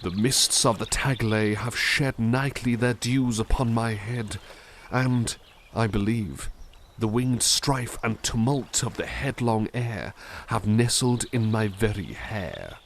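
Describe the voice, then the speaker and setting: A man voicing a kind-hearted, caring butler, reading poems softly and musing gently between them in a close, intimate roleplay. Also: lowish voice, slow pace, slight background noise. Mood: reciting. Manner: soft-spoken, gentle, reflective asides. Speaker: ASMR roleplay performer. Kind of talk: ASMR roleplay poetry reading.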